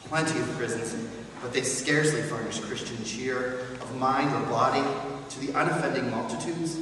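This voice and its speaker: Man as formal charity gentleman, very echoey hall. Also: mid-range voice, natural voice. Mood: solemn, courteous. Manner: formal, measured appeal. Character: gentleman charity collector, courteous and solemn. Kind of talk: dramatic stage reading. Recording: distant, echoey hall, some hiss.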